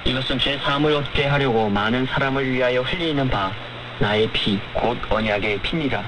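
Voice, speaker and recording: A man reads scripture and makes announcements in a solemn, formal style with measured phrasing, as on a religious radio program. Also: medium pitch. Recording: noisy shortwave radio broadcast.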